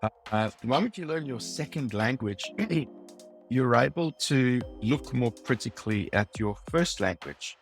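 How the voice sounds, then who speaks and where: South African-accented man, measured guest. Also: medium pitch, lively intonation, faint music behind. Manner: thoughtful, measured, some ums and uhs. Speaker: guest, design leader. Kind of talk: podcast interview.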